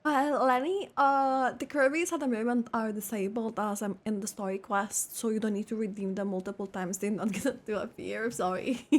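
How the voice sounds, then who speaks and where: A woman's voice: on the high side, steady tone, normal speed, hardly any pauses. A woman streamer giving casual reaction commentary on a game, often echoing dialogue in short asides.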